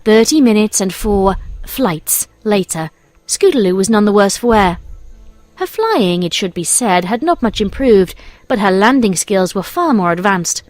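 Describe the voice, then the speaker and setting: A woman's voice: medium pitch, expressive, measured pace, dry recording, faint music behind. A woman reading scripted storybook narration as the narrator.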